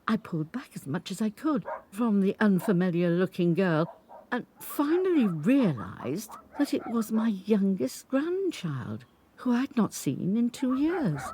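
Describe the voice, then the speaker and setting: An actress playing an 85-year-old grandmother from Birmingham, who looks back on her life in a measured, unhurried monologue. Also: medium pitch, mellow, slight background noise. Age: older. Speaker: narrator. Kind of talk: scripted dramatic monologue.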